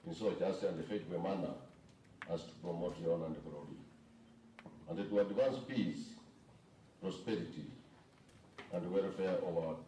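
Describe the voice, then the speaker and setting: A man's voice: low-pitched, dark tone. A man with a Kenyan accent reciting a formal prayer before an assembly. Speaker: official reading the assembly's opening prayer. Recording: roomy, distant microphone, faint background noise.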